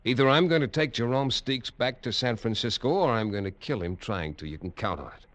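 An actor playing a tough American detective in an old radio drama, narrating in clipped, hard-boiled lines. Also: male voice, mid-range voice, lively intonation, fairly quick, a bit bright, natural voice. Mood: threatening. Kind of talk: 1950s radio drama acting. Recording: dull old radio recording.

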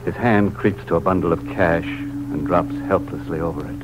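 A man's voice: sits low, steady tone, lightly acted. An actor playing a gleefully morbid horror host on a 1940s radio show, theatrical and tongue-in-cheek, stretching out his lines. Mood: grim suspense. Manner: theatrical, drawn-out punch lines. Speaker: host of a mystery anthology. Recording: muffled old radio broadcast with music.

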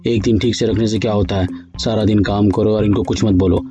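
A man voicing a scolding middle-aged mother who grumbles and nags her daughter, over soft music. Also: on the low side, steady tone, a little fast, voice pushed down, natural voice. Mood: grumbling. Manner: nagging, exasperated grumbling.

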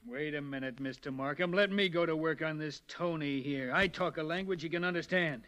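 A high-pitched male voice, an actor playing a hard-nosed American police sergeant. He is blunt, slangy and impatient, bullying in classic forties radio cop style. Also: a little fast, a bit bright, faint music behind, lightly acted. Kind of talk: 1940s American radio crime drama acting.

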